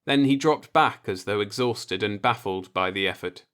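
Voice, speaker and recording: A man's voice: fairly low pitch, moderate pace, fairly bright tone, clear, resonant tone. Man narrating a science fiction story. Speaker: audiobook narrator.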